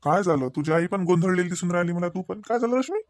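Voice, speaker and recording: Man voicing a teasing, high-voiced cartoon husband. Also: animated, fast, dry recording, studio quality. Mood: casual, questioning. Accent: Vidarbha Marathi. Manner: chatty, mock-reproachful. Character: teasing, needling young husband at his in-laws. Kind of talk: comedy cartoon dialogue.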